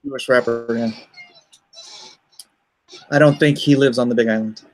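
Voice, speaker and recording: A man chatting casually as a livestream host, haltingly and often muttering to himself. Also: mid-range voice, fairly even intonation, poor audio. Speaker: comic artist and livestream host.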